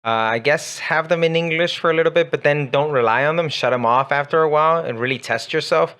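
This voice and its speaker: A man's voice: on the high side, quick pace, fairly bright tone. A man whose first language is Spanish, co-hosting a radio show and giving direct, conversational advice as an English teacher. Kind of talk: casual radio chat giving English-learning tips. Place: radio studio.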